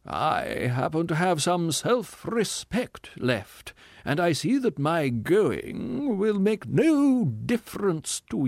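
A man voicing a bitter, self-pitying male ghost who declaims and shouts his lines in a drawn-out, theatrical way. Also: on the high side, no room sound, studio quality, voice pushed up, heavily acted. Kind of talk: audiobook character reading.

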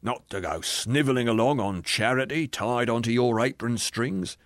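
A man's voice: medium pitch, normal speed, no room sound, studio quality, lightly acted. A man voicing a sulky, self-righteous working-class ghost in a dramatised audiobook, argumentative and drawn out in his emphasis. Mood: bitter, defiant.

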